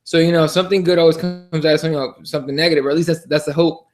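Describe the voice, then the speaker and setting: A man co-hosting a casual sports talk podcast, speaking in a halting, repetitive way with loose, sweary language. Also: fairly high pitch, fairly even intonation, faint music behind. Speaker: podcast co-host.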